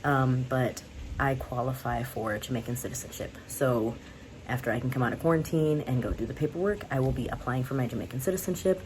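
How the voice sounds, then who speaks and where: A woman's voice: a bass voice, a little fast, a little mellow, slight background noise, poor audio. A young woman from the United States talking candidly to her viewers in a casual vlog, rambling and often laughing. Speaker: vlogger. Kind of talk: casual talk to camera.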